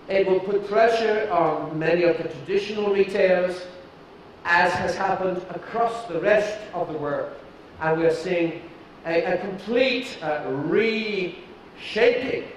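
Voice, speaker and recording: A middle-aged British man giving a keynote talk on a large stage, deliberate and emphatic, with some fillers. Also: high-pitched, a little hiss or hum. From United Kingdom. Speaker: keynote speaker. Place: large dark stage before an audience. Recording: echoey hall from a distance.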